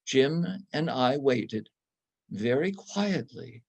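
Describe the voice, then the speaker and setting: A man reading literary prose as a storyteller, in measured, deliberate phrases. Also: mid-range voice, lively intonation, darkish tone, husky. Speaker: reader in a church readers theatre.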